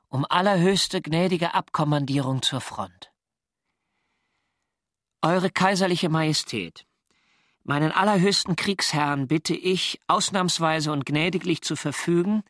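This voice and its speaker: A wry navy diarist, higher-voiced. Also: male voice, a bit bright. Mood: formal, earnest. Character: wry First World War navy mine-petty-officer recounting his diary. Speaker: narrator and actor in audio drama. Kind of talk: literary diary narration, radio drama.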